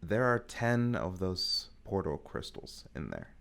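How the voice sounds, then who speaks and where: Male game master, tabletop roleplay narration, deep pitch with a bright, forward tone. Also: huge pitch swings, a little slow, creaky.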